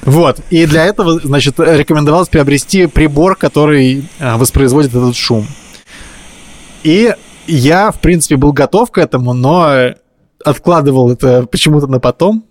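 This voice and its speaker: A man's voice: a little high, normal speed. A man co-hosting a casual podcast, telling stories in an informal, slangy way. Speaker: podcast co-host. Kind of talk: casual podcast chat, storytelling.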